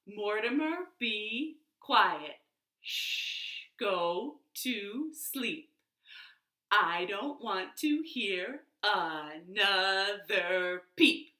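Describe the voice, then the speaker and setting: A woman voicing a firm, fed-up mother from a children's story, scolding and hushing slowly. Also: mid-range voice, expressive, full character voice. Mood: stern, hushing. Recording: roomy, echoey home recording.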